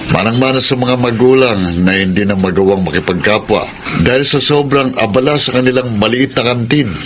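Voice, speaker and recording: A man's voice: mid-range voice, a little fast, fairly bright tone, close to an everyday voice. A man playing a gossipy, teasing neighborhood drinking buddy in a Filipino radio drama. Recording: clearly noisy background ambience.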